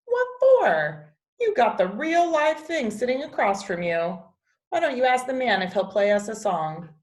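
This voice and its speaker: A woman's voice: on the high side, big pitch swings, unhurried, echoey room, pitched above the performer's own voice. A woman voicing a wise, loving grandmother in a storybook, folksy, firm and reassuring. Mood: brisk, encouraging.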